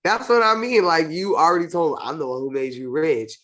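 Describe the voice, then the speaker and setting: Stuffy-nosed woman giving quick opinions. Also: low voice, fairly bright tone. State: stuffy from a cold. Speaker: host of a TV show review channel. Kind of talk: casual chat reviewing an episode.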